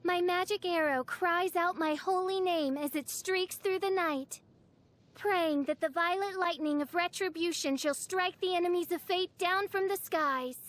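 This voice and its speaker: High-pitched, grandiose young princess. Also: female voice, a little slow, fairly bright tone. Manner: pompous archaic royal speech, melodramatic. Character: theatrical, self-styled princess girl, haughty and grandiose. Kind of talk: scripted game character voice lines.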